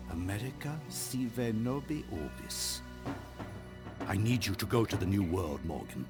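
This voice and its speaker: A grave older lord of knights in a game cutscene. The voice is low and rises and falls widely, with learned authority, over loud music. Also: male voice. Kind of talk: historical strategy game cutscene acting.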